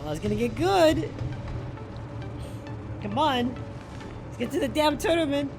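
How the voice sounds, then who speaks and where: Young woman, game streamer. Lively swings in pitch, casual excitable slang and swearing, loud music behind. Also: measured pace. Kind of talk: casual live gameplay commentary.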